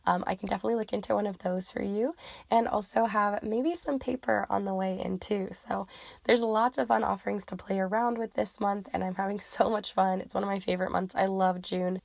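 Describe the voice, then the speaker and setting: Croaky-voiced woman reading tarot. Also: medium pitch, darkish tone, no echo. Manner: chatty, rambling, with many fillers. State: croaky, losing her voice from a cold. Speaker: tarot reader. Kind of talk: pick-a-card reading intro.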